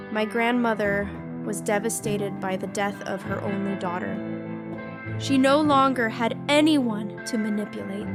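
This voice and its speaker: A woman's voice: medium pitch, close to an everyday voice. Woman playing a bitter young villainess over music. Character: bitter young female villain recruit recounting her abused childhood. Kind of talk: scripted dramatic narration in a comic dub. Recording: music under the voice. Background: background music.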